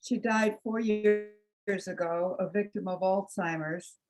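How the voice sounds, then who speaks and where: An unhurried woman reads poems aloud in a mid-pitched voice. Her phrasing is deliberate and measured, at a slow pace that gives each short line its own space. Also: fairly even intonation, music in the background, low-quality recording. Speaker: congregation member reading poetry. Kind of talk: poetry reading with spoken introduction.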